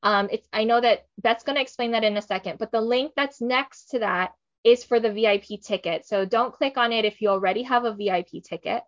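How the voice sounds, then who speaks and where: A woman's voice: a little high, fairly quick, highs cut off. A woman hosting an online summit in a bubbly, chatty way, laughing often. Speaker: summit host.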